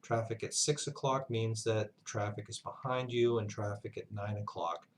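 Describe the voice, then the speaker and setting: A man giving an instructional lesson as a flight instructor, speaking in a measured, halting way with pauses mid-sentence. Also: lowish voice, fairly even intonation, slight background noise. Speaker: flight instructor, university lecturer. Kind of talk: instructional narration, explanatory lesson.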